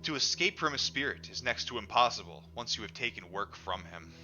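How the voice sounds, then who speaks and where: A man's voice: fairly low pitch, animated, fairly quick, pushed and bright. A man reading a book aloud as dramatic first-person narration, emphatic and theatrical, stretching the words he stresses. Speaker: audiobook reader. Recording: dull lo-fi recording with music behind. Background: music.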